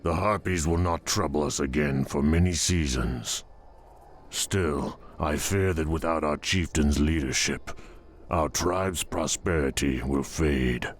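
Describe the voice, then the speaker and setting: A man's voice: rumbling low, a little slow. A man voicing a grave, respectful tauren tribesman in a fantasy game. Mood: grave, worried. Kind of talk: fantasy game cinematic acting. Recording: noisy ambience behind the voice.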